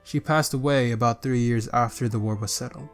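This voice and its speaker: A man plays a stern vampire father who heads a council, speaking in a formal, probing way. His voice sits at an ordinary middle pitch, and he commands the room in this amateur roleplay. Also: steady tone, brisk, faint music behind, lightly acted.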